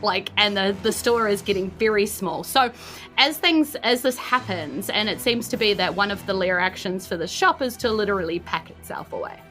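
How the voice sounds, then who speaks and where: A woman with a New Zealand accent narrating a tabletop game as game master, in an informal, halting, descriptive way. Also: medium pitch, fairly bright tone, faint music behind.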